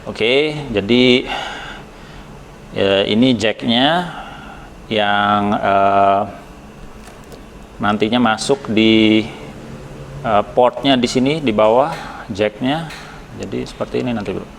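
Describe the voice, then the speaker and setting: A man's voice: lowish voice, takes it slowly, creaky, long pauses, slight background noise. An Indonesian man giving a casual, conversational product review, hesitating as he goes and mixing in occasional English words. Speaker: product reviewer from a helmet shop.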